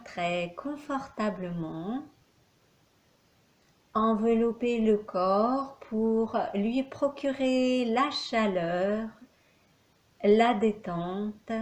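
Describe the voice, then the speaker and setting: A woman leading a guided yoga nidra relaxation as an instructor, speaking calmly in short, measured phrases with long pauses. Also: medium pitch.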